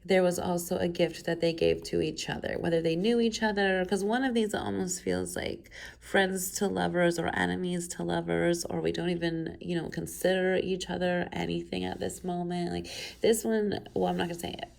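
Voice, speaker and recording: A woman's voice: fairly low pitch, fairly even intonation, normal speed, vocal fry, a little mellow. A woman with a cold, sounding stuffed up, giving a chatty tarot reading to camera with rambling asides. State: has a cold, stuffed up and coughing. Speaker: tarot reader. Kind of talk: casual pick-a-pile tarot reading to camera. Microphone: camera mic.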